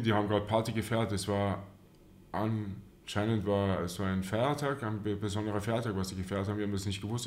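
A young man telling a story as an interview guest, in colloquial and somewhat halting speech. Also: fairly low pitch. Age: young adult. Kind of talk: casual storytelling. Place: small indoor studio lounge. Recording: slightly echoey room.

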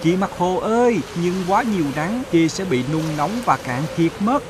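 A man voicing a proud, touchy young cloud in a cartoon fable, with a childlike, expressive delivery. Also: high voice, normal speed, background noise. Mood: pleading, huffy.

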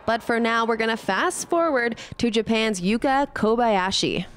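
Female sports commentator for a scripted highlights voice-over, with noticeable background event noise. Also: a little slow. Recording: clear ambient event noise behind voice.

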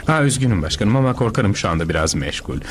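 A man's voice: on the low side, big pitch swings, fast, a little hiss or hum. A man playing an unflappable, self-important psychotherapist in a dubbed cartoon, soothing and patronizing. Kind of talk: dubbed cartoon character acting.

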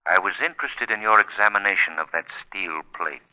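An actor playing a sinister, mocking invisible avenger in 1930s radio style, formal and menacing through a filter. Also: male voice, deep voice, brisk, sharp tone, clean tone. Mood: eerie, mocking. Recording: dull lo-fi 1930s radio recording.

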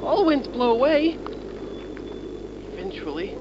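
Slow, soothing little cartoon critter played by a woman. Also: on the high side, big pitch swings, heavy background noise. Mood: reassuring. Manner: soothing, tender. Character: gentle, reassuring young cartoon critter. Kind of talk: cartoon character acting. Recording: dull lo-fi sound, loud music behind.

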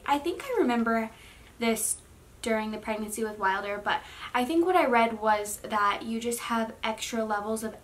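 A young woman in her twenties chatting casually in a vlog from her bedroom, rambling with many fillers. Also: fairly high pitch. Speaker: vlogger. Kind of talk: casual pregnancy update vlog. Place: bedroom, seated on bed.

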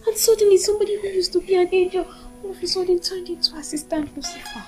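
A woman's voice: very high voice, fast, soft background music. An actress playing a loyal, outspoken, protective female friend with a Nigerian accent in a film drama. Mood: exasperated.

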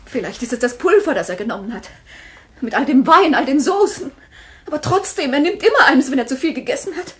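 A fretful wife in a radio thriller, insistent and quick to alarm. Her voice is high and swoops emotionally, with faint noise behind it. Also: expressive, fairly bright tone. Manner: emotional, questioning. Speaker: actor as a wife. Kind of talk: German radio thriller acting. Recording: slight background noise with clicks.

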